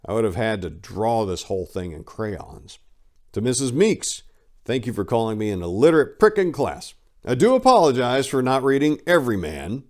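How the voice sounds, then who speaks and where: A man reading a book aloud in a dramatic style, with deliberate, declamatory delivery. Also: lowish voice, darkish tone. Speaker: audiobook reader. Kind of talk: dramatic reading of a book's acknowledgments.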